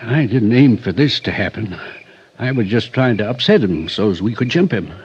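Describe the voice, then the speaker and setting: An actor as a drawling, folksy rustic guide, old radio. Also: male voice, lowish voice, expressive, moderate pace, lightly acted. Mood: somber, folksy. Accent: rural American West. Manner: drawling, homespun, dry. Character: folksy rustic male outdoor guide, sly and unflappable. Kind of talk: 1940s-50s radio drama acting. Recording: dull, hissy old radio recording.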